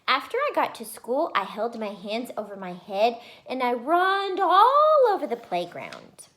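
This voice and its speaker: A woman voicing an excitable, boastful little schoolgirl in a children's storybook, with childish grammar and gushing emphasis. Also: fairly high pitch, measured pace, pitched above the performer's own voice, heavily acted. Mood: chatty, self-satisfied. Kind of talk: first-person children's storybook read-aloud.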